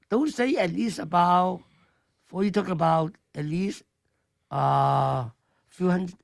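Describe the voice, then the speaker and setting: Older chef, gravelly. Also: male voice, sits high, dry recording. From Hong Kong. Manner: broken English, animated and joking. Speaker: chef guest. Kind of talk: radio interview.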